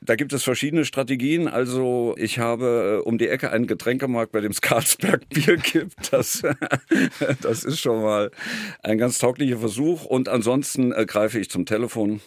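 A man's voice: medium pitch, moderate pace, no echo, studio quality. A man in his sixties from Saarland with a Saarland German accent, talking in a radio interview with many fillers and small chuckles. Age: 60s. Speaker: author, interview guest.

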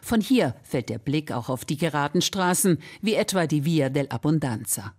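A woman's voice: deep voice, lively intonation, normal speed, a little mellow. A woman reading scripted narration for a radio feature as its reporter.

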